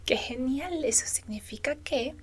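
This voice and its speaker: A woman performing a whispered ASMR roleplay as a gentle, caring faun, breathy and tender as she speaks to the listener. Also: high voice, noisy background. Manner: slow, soothing, addressing the listener tenderly. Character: gentle, caring female faun, a magical companion.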